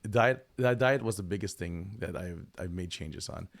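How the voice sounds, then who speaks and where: A man's voice: low voice, normal speed, gravelly. A man hosting a livestream, chatting casually and joking with a guest, his voice a bit tired from lost sleep. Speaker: streamer host.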